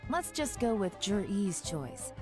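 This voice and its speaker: A woman playing a composed, shrewd intelligence chief in an anime game, speaking with measured authority over music. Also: mid-range voice, big pitch swings. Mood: smooth, probing. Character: cool, commanding young female intelligence chief, composed and shrewd.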